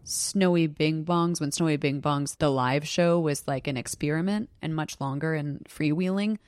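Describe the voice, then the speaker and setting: Excitable low-voiced woman sharing fun facts. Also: normal speed, clear, resonant tone, no echo. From Southeast Alaska. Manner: excited, storytelling, breaks into laughter. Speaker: podcast guest. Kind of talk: casual chat.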